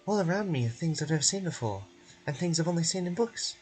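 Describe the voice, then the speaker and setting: A man's voice: fairly high pitch, animated, fast talker, a little mellow, faint music behind, lightly acted, low-quality recording. A man voicing a timid, wide-eyed little girl in an amateur game dub. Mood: curious, excited.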